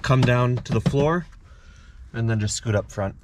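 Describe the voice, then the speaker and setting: Man chatting casually amid clear noise. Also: medium pitch, unhurried. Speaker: host's brother, DIY installer. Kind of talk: casual chat while working. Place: car being worked on. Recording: noisy on-site camera sound with clicks.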